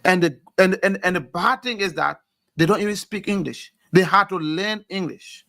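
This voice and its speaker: A man's voice: sits high, normal speed, vocal fry, sharp tone, no room sound, studio quality. A man with a Liberian accent giving impassioned political commentary, emphatic and full of rhetorical questions, often repeating words as he presses his point. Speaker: panel commentator.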